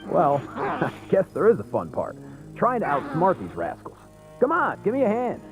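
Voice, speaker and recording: An actor playing a wry, grumbling but friendly vet in a video game, speaking casually. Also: male voice, sits high, normal speed, soft background music, rough recording. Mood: playful exasperation. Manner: casual and animated.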